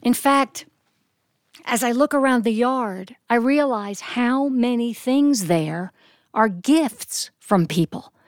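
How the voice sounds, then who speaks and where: An American woman telling a story live on stage in an expressive, descriptive manner with deliberate phrasing. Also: on the high side, no room sound, clean studio sound. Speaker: storyteller and actress. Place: performance studio.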